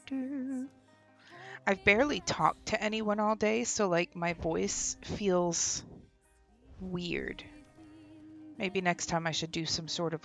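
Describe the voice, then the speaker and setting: A woman's voice: on the low side, a bit bright. A young woman streaming a game from home, chatting casually and laughing, often trailing off mid-sentence, on a desk microphone. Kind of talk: casual gameplay stream chat. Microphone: desk microphone with headphones.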